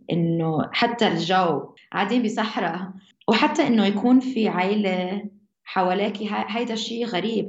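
Young woman, mixed levantine arabic accent, quick casual storytelling, roomy dull audio with faint music. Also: medium pitch, a little mellow. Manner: casual, restarts mid-phrase. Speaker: interviewee. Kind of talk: personal storytelling.

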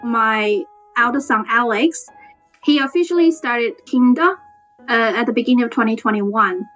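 A woman's voice: a little high, moderate pace, fairly bright tone, dull audio, soft background music. A woman from China, a Mandarin speaker, giving an earnest personal account as a parent in English. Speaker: interviewed parent.

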